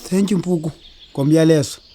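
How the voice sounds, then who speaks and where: A woman's voice: deep voice, a little fast, heavy background noise, natural voice. A woman with a South African accent playing a lazy, apologetic small male dassie in children's audio theatre, stammering and pleading. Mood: eager, flustered.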